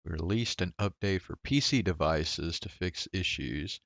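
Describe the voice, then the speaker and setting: Very deep, slow-talking man streaming a game. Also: vocal fry, a bit bright, no room sound. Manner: rambling, hesitant, thinking aloud. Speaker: game livestreamer. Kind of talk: casual chat while playing a game.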